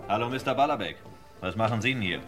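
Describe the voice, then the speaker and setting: A man's voice: lowish voice, animated, fast, a bit bright, faint music behind. An actor playing a wry, cool male newspaper reporter who narrates a vintage German crime radio play in dry, clipped sentences. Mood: casual.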